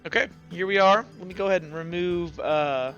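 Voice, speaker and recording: High, bright man running a game. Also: a little fast, muffled recording, faint music behind. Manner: chatty, informal, loose improvised phrasing. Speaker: game master. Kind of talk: casual improvised tabletop game narration.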